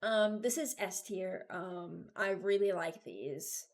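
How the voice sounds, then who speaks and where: A woman's voice: on the low side, slow pace. A woman giving casual reaction commentary as a video host, rambling and thinking aloud as she ranks game voice lines.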